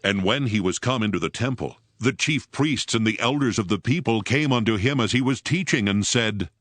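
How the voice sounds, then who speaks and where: A man's voice: on the low side, animated, normal speed, a bit bright. A man narrating scripture from a script in a formal, dramatic storytelling manner. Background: prominent background music.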